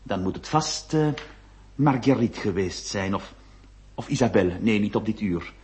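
A man's voice: fairly low pitch, expressive, moderate pace, a little mellow, lightly acted. A male actor playing a flirtatious, easily flustered notary in a radio play, confiding and hesitant. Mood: baffled. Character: flirtatious, flustered ladies' man notary telling his story. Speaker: lead actor and narrator. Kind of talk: theatrical radio drama acting.

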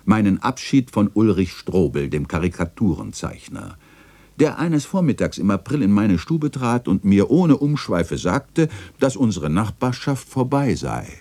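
An actor playing a melancholy old chronicler in a radio drama, narrating in a solemn, lyrical, gravelly manner. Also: male voice, lowish voice, big pitch swings, a little slow, warm tone, dry recording, heavily acted. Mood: reflective. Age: older. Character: melancholy old male chronicler looking back on his life.

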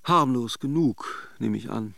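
An actor in a radio drama playing a suave, gossipy holiday idler, musing theatrically with ironic courtesy. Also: male voice, mid-range voice, unhurried, vocal fry, sharp tone, no echo, clean studio sound. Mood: amused speculation. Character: suave, gossipy male holiday idler watching café guests.

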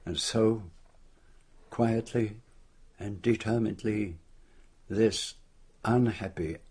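A self-assured foreign detective in a radio drama. His French-accented voice is low and rather husky. He sets out his reasoning formally and deliberately, with careful courtesy. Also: a little mellow. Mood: grave explaining.

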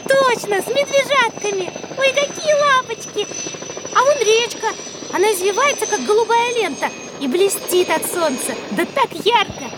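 A woman's voice: extremely high pitch, lively intonation, moderate pace, heavy background noise. A woman playing a sweet, inquisitive, easily delighted little girl who coos and questions in a children's audio drama. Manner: bright-eyed questioning, cooing.

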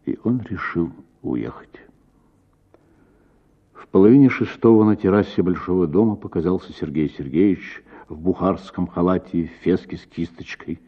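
A man reading a literary short story as expressive, theatrical audiobook narration for radio, with deliberate pauses and a husky voice. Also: deep voice, muffled recording.

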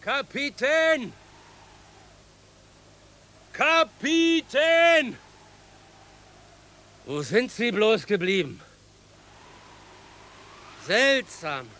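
A man's voice: very high voice, lively intonation, drawn-out words, long pauses, bright, forceful tone, exaggerated voice. A man playing a puzzled, searching islander in a German radio play.

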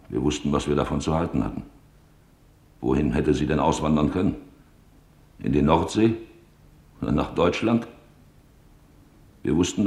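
Radio feature casting: sombre witness, extremely low voice, sober delivery, roomy echoing sound. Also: male voice, a little mellow. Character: sombre male witness, helper of a family in hiding. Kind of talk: scripted testimony in a radio feature.

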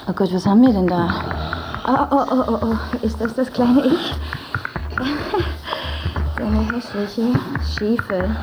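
A woman's voice: a little high, rough recording. An actress playing a mocking female journalist in a radio play, taunting and scornful as she talks.

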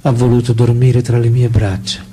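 A man in a radio drama playing a French philosopher-writer who confides his memories and love letters in an intimate, deliberate, literary way. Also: medium pitch, monotone, dark tone, a little hiss or hum, natural voice. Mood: wry, candid. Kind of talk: theatrical radio drama monologue. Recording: music behind the voice.

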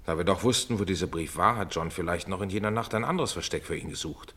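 An actor playing a composed, courteous middle-aged male doctor in a German radio play, measured and polite in delivery. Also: low-pitched, animated, bright, forceful tone, husky, heavily acted. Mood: guarded.

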